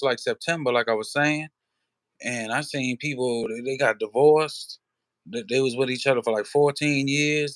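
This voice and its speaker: A man's voice: mid-range voice, a bit bright, no room sound. A man hosting a livestream, chatting casually to his viewers in a laid-back, slangy way with lots of fillers. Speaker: livestream host.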